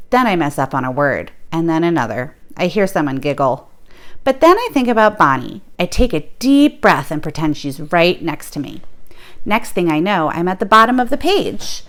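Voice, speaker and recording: Animated woman reading a storybook aloud. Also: fairly low pitch. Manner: animated, addresses young listeners directly. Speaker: read-aloud host. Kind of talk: expressive storybook read-aloud.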